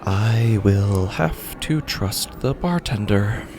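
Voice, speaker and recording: Man, deep gravelly voice, slow, halting and uneasy horror narration, music underneath. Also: lively intonation, noisy background. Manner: halting, uneasy, breaks off mid-sentence. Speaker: podcast narrator. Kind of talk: first-person horror story narration. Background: music score.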